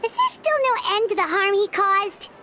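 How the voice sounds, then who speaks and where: A woman voicing a small childlike fairy companion in a video game, squeaky, perky and blunt, with emphatic, questioning delivery. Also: lively intonation, normal speed, bright, forceful tone, a little hiss or hum, full character voice. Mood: pensive. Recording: music playing behind the voice.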